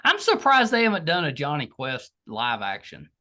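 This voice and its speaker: A man's voice: on the high side, wildly swooping pitch, normal speed, sharp tone. A man interviewing a guest in casual, conversational podcast style.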